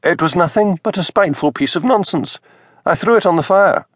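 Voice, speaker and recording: A man's voice: brisk, dry recording, studio quality. A man voicing a respectable, tweedy minister's wife in her fifties who speaks in a stiff, indignant tone. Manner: indignant and stiff, high-pitched.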